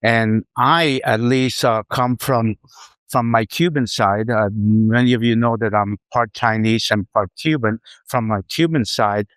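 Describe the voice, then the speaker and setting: A man's voice: mid-range voice, fairly even intonation, measured pace, fairly bright tone, dry recording. A middle-aged male professor on a panel, speaking with determination and repeating phrases for emphasis. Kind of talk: round table remarks.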